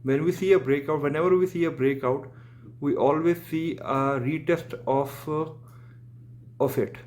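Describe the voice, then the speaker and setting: A man's voice: on the high side, a little slow, darkish tone. A young man whose first language is Urdu, walking through trading charts on a screen share in English, with many fillers and restarts. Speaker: crypto trading channel host.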